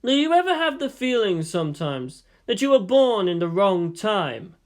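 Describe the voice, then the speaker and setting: A man voicing a weary, thoughtful statesman and historian who lectures in a measured, philosophical way in a story reading. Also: sits high, very animated, pitched above the performer's own voice. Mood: reflective.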